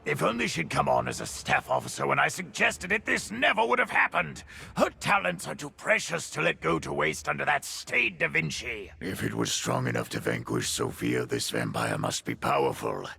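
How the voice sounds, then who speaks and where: A man playing a brash, boisterous rebel leader in a video game, with a gravelly voice and theatrical swagger. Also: mid-range voice, sing-song, normal speed, sharp tone, heavily acted. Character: brash, boisterous resistance leader who relishes a fight. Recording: music under the voice.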